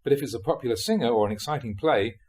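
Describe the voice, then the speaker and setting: A man reading translated Buddhist talks aloud as a monk, in an expressive storytelling delivery. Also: mid-range voice, racing.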